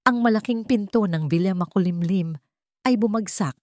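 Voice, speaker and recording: A woman's voice: mid-range voice, normal speed, a little mellow, no echo. A woman storyteller reading a scripted, dramatic story in a close voice-over.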